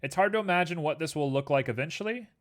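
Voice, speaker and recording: A man's voice: a little high, fast. A young man streaming live, chatting casually and rambling to his viewers while building a 3D scene. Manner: relaxed, rambling, talks to chat and to objects on screen.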